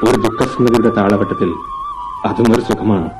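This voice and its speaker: A man playing a gentle, regretful wanderer come back to his childhood sweetheart in a Malayalam radio drama, earnest and poetic. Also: lowish voice, over loud music, lightly acted. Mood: nostalgic. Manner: earnest, poetic, measured delivery.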